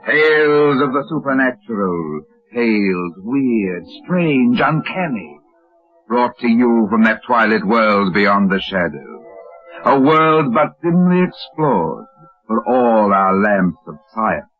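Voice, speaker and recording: An actor playing a grave, solemn narrator of a supernatural anthology, in theatrical old-time radio style. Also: male voice, medium pitch, sing-song, slow, soft background music. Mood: ominous. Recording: dull old radio broadcast recording.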